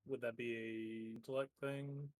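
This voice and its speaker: A man's voice: mid-range voice, level tone, measured pace. A man chatting casually as a tabletop game player, hesitant and questioning as he works things out.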